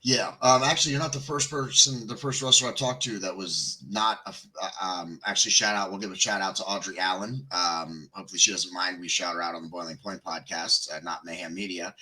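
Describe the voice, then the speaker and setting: A man's voice: sits low, a little fast, few pauses, pushed and bright, low-quality recording. A man hosting a podcast, chatting casually with loose fillers. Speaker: podcast host. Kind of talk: casual interview chat.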